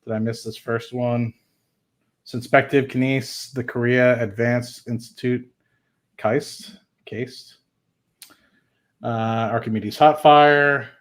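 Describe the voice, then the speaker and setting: Male host, medium-pitched voice, casual live commentary, reading and reacting in an informal thinking-aloud way. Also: fairly even intonation, takes it slowly, long pauses. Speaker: host of an investing livestream.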